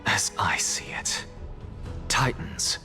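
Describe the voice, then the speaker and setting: A man voicing a proud, defiant professor in a dramatic video game, speaking in a grandiose, deliberate manner. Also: over music, close to an everyday voice. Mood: intense, lecturing. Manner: grandiose, deliberate, theatrical delivery. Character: proud, theatrical male scholar and blasphemer, defiant and clever.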